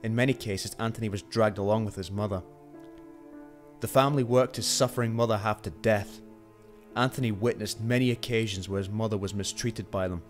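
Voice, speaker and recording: A man's voice: fairly low pitch, steady tone, a little fast, soft background music, lightly acted. A man playing a driven, hot-tempered police detective in an earnest, dramatic amateur radio play. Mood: grim, narrating.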